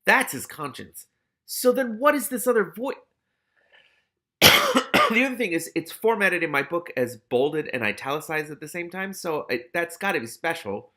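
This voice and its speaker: A man's voice: on the high side, animated, normal speed, pushed and bright. A man reading a novel aloud expressively, with casual, candid asides of his own.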